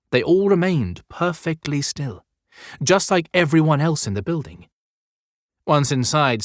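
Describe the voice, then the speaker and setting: A man's voice: medium pitch, dry recording, clean studio sound. A man narrating a scripted adventure story as an audiobook narrator.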